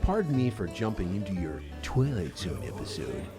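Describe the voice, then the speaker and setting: A man's voice: low voice, sing-song, moderate pace, over music. A man playing a wisecracking, skeptical hunter in a radio play, mocking and sarcastic. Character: wisecracking skeptical hunter teasing his friend.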